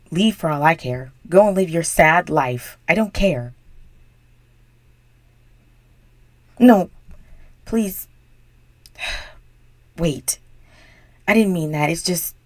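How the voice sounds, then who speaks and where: A young woman voicing a bratty, bossy popular high school girl in an intimate audio roleplay, whiny and exasperated, with desperation underneath. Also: dry recording. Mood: threatening, dismissive, then pleading, embarrassed. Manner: whiny, sighing, pushy.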